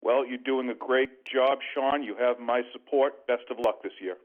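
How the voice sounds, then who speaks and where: A man from New England calling in as a private investor, asking questions haltingly on an earnings call. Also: mid-range voice, steady tone. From New England, United States. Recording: tinny muffled phone line.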